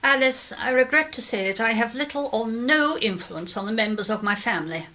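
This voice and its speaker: A woman's voice: a little high, expressive, brisk, pushed and bright, a little hiss or hum. An actress playing a genteel, regretful middle-aged clergyman's wife in a 1930s radio drama, speaking in a formal, hesitant way. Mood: formal, dejected.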